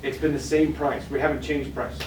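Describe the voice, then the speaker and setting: A man's voice: mid-range voice, little pitch movement, fairly quick, background noise. Chatty man, far off in an echoey room. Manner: chatty, jokey asides. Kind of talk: casual chat during a demo.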